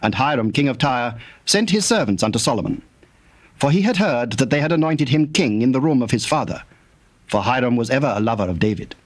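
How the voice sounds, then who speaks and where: A man narrating scripture from a script in a formal, measured manner. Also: mid-range voice, expressive.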